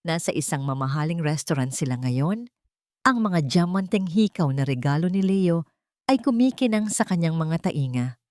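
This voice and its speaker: A woman with a Filipino accent narrating a romance story in a measured, dramatic storytelling manner. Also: deep voice, animated, a little mellow, no room sound.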